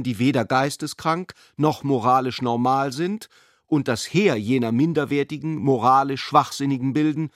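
A man's voice: mid-range voice, dry recording, clean studio sound, natural voice. A man voicing a pedantic, self-important elderly father, a jurist, reading out a formal, long-winded letter in a radio drama. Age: older.

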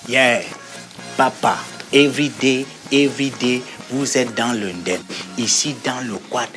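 A man's voice: medium pitch, a little slow, fairly bright tone, close to an everyday voice. A man playing a swaggering street rapper, delivering rhythmic, chanting spoken word in mixed slang. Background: music.

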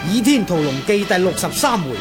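A man with a Hong Kong Cantonese accent formally reading radio drama credits in a measured way. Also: high voice, lively intonation. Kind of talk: scripted credits announcement. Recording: radio announcement over loud music.

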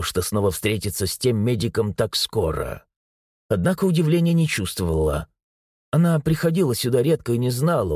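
A man narrating a novel as an audiobook, with expressive storytelling and ironic asides. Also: mid-range voice, breathy edge, no room sound, studio quality. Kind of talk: scripted narration.

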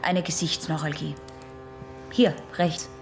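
A young woman acting in a radio drama as a proud, defensive, educated patient who speaks in halting, clipped phrases. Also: sits low, steady tone, over music. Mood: guarded, curt.

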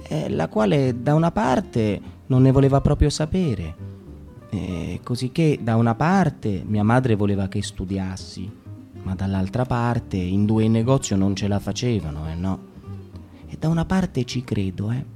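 A man reading a radio drama with an Italian accent, slow and halting, rambling in places. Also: on the low side, warm tone.

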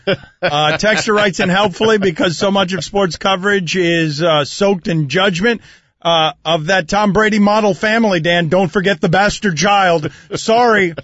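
A man hosts a studio sports talk show with a wry, chatty delivery, his voice sitting fairly high. The sound is dry and close but dulled, like a broadcast feed. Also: normal speed, fairly bright tone, dry recording. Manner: chatty, wry, reads listener texts. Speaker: sports talk radio host. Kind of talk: casual studio banter. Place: radio studio. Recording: dull lo-fi radio broadcast.

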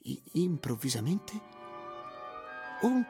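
Young slacker guy telling his story, breathy husky voice, swoops around a lot, wry and excitable. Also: fairly high pitch, takes it slowly, faint music behind, exaggerated voice. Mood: excited recollection. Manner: expressive, hesitant stammering repetitions. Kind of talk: theatrical first-person narration with acted dialogue.

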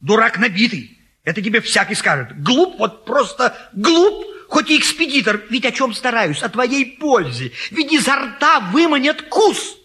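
A bossy, hot-blooded matchmaking friend in a classic Russian comedy. His high, bright voice swoops widely, with a husky edge. He cajoles and scolds with theatrical insistence. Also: big pitch swings, a little slow, exaggerated voice. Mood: exasperated scolding. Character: pushy, excitable male friend bullying a bachelor into marriage.

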